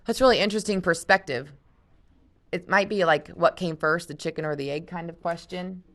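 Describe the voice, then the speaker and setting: A woman's voice: lowish voice, moderate pace, fairly bright tone. A woman teacher reading a novel aloud to a class and discussing it in a casual, explaining way, thinking aloud.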